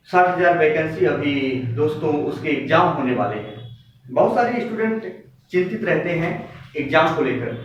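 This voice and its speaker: A man speaking as a coaching teacher, giving students an exam update in an emphatic, exhorting way with repeated phrases. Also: on the high side. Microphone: distant microphone. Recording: very echoey room, distant muffled mic, faint noise.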